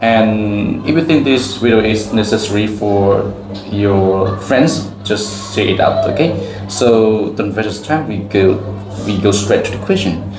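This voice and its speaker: A man's voice: on the low side, slow. A Cambodian man, a teacher asking a student simple questions in plain, broken English and answering each reply with "okay". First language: Khmer. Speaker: teacher and channel host. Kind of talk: classroom question-and-answer video. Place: school campus. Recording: echoey, with faint background music.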